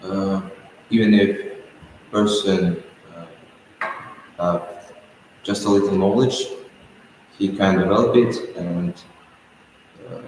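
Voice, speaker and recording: Teen boy student, halting exam answers, deep and slow, recorded in an echoey classroom with a distant mic. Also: fairly even intonation, darkish tone, a little hiss or hum. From Kazakhstan. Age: teens. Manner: halting, many filler sounds. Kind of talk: spoken English exam answers. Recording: echoey room from far off.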